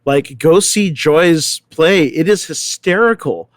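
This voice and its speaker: A man's voice: on the high side, animated, takes it slowly, no echo. A man speaking as a panel guest in a casual discussion, emphatic and discursive.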